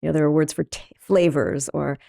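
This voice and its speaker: A middle-aged woman who grew up in Thailand, an artist talking through her story in a thoughtful, halting way with many pauses and ums. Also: sits low, steady tone, vocal fry, warm tone. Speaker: artist guest. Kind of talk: reflective interview talk.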